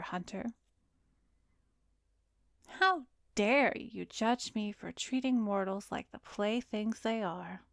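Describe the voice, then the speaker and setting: Casting: actress for arrogant vampiress therapist in ASMR roleplay. Slow, drawn-out, teasing and hypnotic, breathy whispers, intimate dry sound. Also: female voice, mid-range voice, a bit bright, no room sound. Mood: haughty. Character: arrogant, seductive female vampire posing as a therapist.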